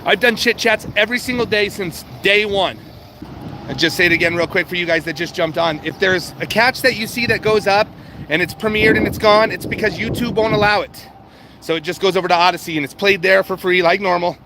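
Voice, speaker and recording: A man's voice: high-pitched, a little fast, sharp tone. A man chatting casually and informally to viewers as a livestream host, swearing now and then and addressing them directly. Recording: noisy outdoor street and vehicle background.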